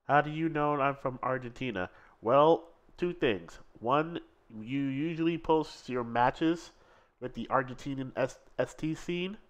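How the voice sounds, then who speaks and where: A man co-hosting a live fighting-game stream, commentating matches and reading chat aloud, excitable and quick to laugh. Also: mid-range voice. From Seattle.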